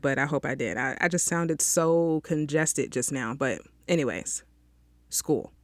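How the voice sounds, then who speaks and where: A woman's voice: sits low, no echo, studio quality. A young woman hosting her own podcast, chatting on her own from a small closet and often checking in with listeners with an "okay?". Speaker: podcast host. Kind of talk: casual solo podcast monologue. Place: small closet, outdoor sounds leaking in.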